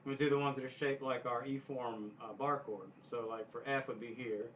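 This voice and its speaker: A man giving casual guitar instruction in a halting way, often backtracking and clearing his throat. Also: mid-range voice, fairly even intonation, a bit bright. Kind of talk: casual instructional talk to camera.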